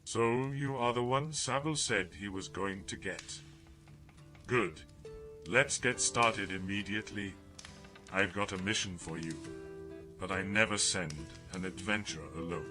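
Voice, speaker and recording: Guildmaster for an audio RPG, male actor: low voice, brisk quest-giver, guitar music clearly behind. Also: close to an everyday voice. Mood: brisk, businesslike. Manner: brisk, instructing. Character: businesslike guildmaster handing out quests in a fantasy town.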